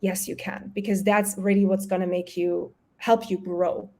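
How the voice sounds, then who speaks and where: A woman's voice: medium pitch, flat delivery, moderate pace, warm tone, slight background noise. A woman whose first languages are German and Polish, talking casually and chattily as an interview guest, acting out her own self-talk. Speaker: guest, health coach and entrepreneur.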